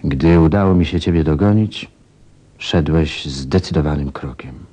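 A man playing a grieving pilot in a radio play, speaking in a hushed, sorrowful way. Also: extremely low pitch, unhurried, husky, full character voice. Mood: subdued, sad. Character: grieving grown man, pilot friend of the prince.

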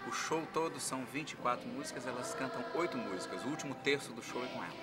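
Male musician guest, 40s, mid-pitched, live TV chat on a stand mic, loud keyboard under the voice. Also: fast talker, over loud music. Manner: relaxed, earnest, plays keyboard while he talks. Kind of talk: live TV interview. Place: dark studio set with keyboard.

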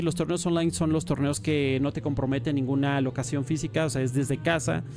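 A man hosting a casual podcast, talking in an animated, enthusiastic way with lots of slang. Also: fairly high pitch. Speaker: podcast host and StarCraft caster.

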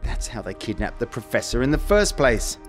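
A man's voice: medium pitch, very animated, brisk, soft background music, close to an everyday voice. An actor playing an impatient, sardonic, commanding male spaceship captain in a sci-fi audio drama. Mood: alarmed, urgent. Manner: curt, commanding.

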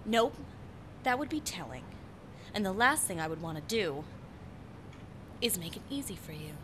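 An actress playing a sly, teasing cat burglar in a noir radio drama, playful and coy. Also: female voice, mid-range voice, very animated, moderate pace, fairly bright tone, slight background noise.

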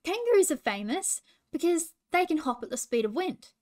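A woman's voice: extremely high pitch, sing-song, moderate pace, a little mellow, voice pushed up. A woman voicing a friendly, curious kangaroo in a children's story, speaking frankly and helpfully to a pal. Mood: helpful, explaining.

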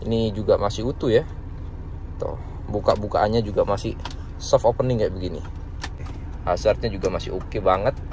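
A man's voice: lowish voice, moderate pace, long pauses, darkish tone, rough recording. A man giving an informal, slangy, enthusiastic walkaround sales talk on a car. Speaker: car seller presenting a used car. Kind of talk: casual walkaround review to camera. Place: outdoors by a car and inside it. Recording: clear outdoor and car background noise.

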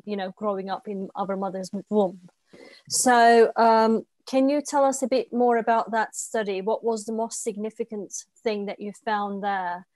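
A woman's voice: mid-range voice, darkish tone, dry recording, low-quality recording. Woman hosting a dry-sounding video call. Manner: polite, carefully phrased questions. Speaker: interviewer, host. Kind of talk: hosting a live science Q&A. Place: home room. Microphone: video call webcam.

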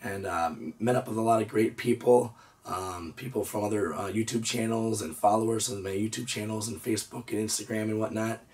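Hoarse man vlogging from his reptile room. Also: fairly low pitch, fairly even intonation, fairly quick, a little mellow, far from the mic. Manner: easygoing, lots of "uh" and "so". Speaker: reptile breeder, channel host. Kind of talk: casual show-and-tell vlog. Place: indoor reptile room with storage drawers.

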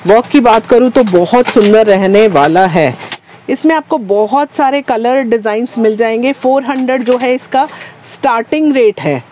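A woman's voice: on the high side, normal speed, a little mellow, slight background noise. A woman hosting a shopping vlog, presenting wholesale goods in a repetitive patter of stock phrases. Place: small wholesale market shop, indoors.

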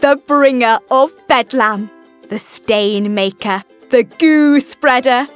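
A woman voicing a mischievous, grandiose little chaos-maker in an advert, with theatrical, drawn-out proclaiming. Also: a little high, faint music behind. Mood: gleefully boastful.

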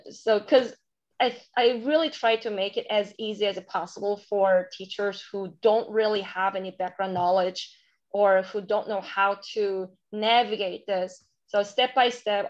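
A woman's voice: medium pitch, fairly even intonation, darkish tone. Korean accented lady walking teachers thru a lesson plan, lots of ums and restarts, kinda muffled call audio. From South Korea. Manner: halting, many fillers and restarts. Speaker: curriculum author presenting to teachers. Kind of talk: webinar presentation. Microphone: video call. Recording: dull lo-fi webinar audio.

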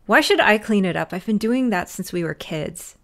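A woman's voice: medium pitch, lively intonation, moderate pace, fairly bright tone. A woman acting a stressed cousin who runs a failing shop, stern and exasperated. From United States. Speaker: shop owner character. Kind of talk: audio drama acting.

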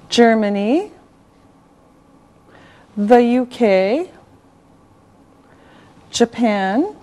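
A middle-aged woman from Canada teaching an English lesson, enunciating deliberately word by word and repeating herself, in a studio. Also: on the high side, a little mellow. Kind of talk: slow classroom language lesson. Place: television studio classroom set.

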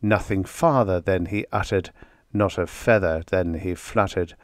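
Slow, solemn man reciting gothic poetry. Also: low voice, no echo, clean studio sound. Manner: solemn, theatrical, deliberate phrasing. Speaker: audiobook narrator.

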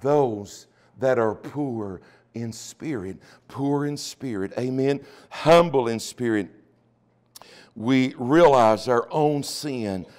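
A middle-aged man, preaching a Pentecostal sermon emphatically and punctuating it with amens. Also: medium pitch. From United States. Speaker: pastor. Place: small church, at the pulpit indoors. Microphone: lapel mic.

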